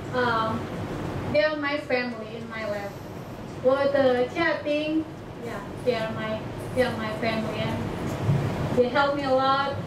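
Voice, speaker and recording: A young Indonesian woman with a high voice gives a talk in halting English, often stopping to find her words. Batak is her first language. She is picked up from far off in an echoing lecture hall with clear background noise. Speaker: international student speaker. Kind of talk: personal presentation to an audience. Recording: echoey hall, far mic, noisy.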